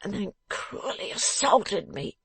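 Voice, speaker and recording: A pathetic, battered young gentleman from a Victorian storybook, played by a woman narrator. The voice is pitched up and strained, swinging widely as it whines and groans in drawn-out, self-pitying complaint. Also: big pitch swings, husky, dry recording, heavily acted. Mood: aggrieved, miserable. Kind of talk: audiobook character voice from a Victorian novel.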